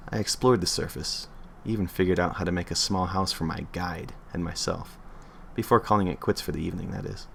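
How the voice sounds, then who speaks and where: A man's voice: sits low, fairly even intonation, fairly quick, creaky, few pauses, fairly bright tone, a little hiss or hum. A man reading a creepy internet story aloud on a livestream in an offhand way, stumbling and correcting himself as he goes.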